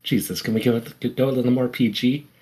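A man with a medium-pitched voice banters as a podcast co-host. His delivery is casual and conversational, with an exasperated edge as he objects and hedges his way along. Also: steady tone, quick pace, mellow, slightly rough, low-quality recording. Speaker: podcast co-host and quiz contestant.

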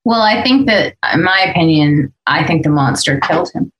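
A woman with a fairly low voice chats casually as a podcast host and voice actor. The recording is roomy, with noticeable echo around her words. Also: animated, normal speed, a bit bright, dull audio.

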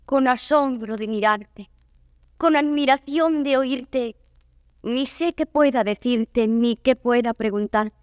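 A noble, wandering avenger in classical verse drama, with a fairly high voice and dry sound. She declaims solemnly and courteously, full of proud sorrow. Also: smooth, no echo. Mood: sorrowful wonder. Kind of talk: classical Spanish verse stage acting.

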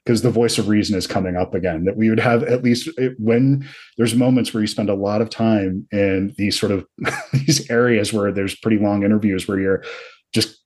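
An American man hosting a podcast, talking casually and reflectively with a slightly husky voice. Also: lowish voice, steady tone, moderate pace, darkish tone. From Georgia and New Jersey, United States. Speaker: podcast host.

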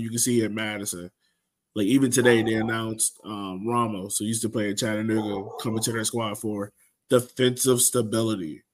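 A man hosting a soccer fan podcast, giving a blunt, frustrated and casual recap. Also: fairly low pitch. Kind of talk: casual sports recap discussion.

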